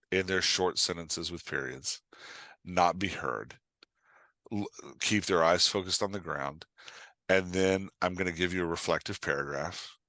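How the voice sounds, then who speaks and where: A man's voice: low voice, sharp tone, dry recording. A man giving a casual interview as a guest writing coach, explaining craft emphatically and checking in with the listener as he goes.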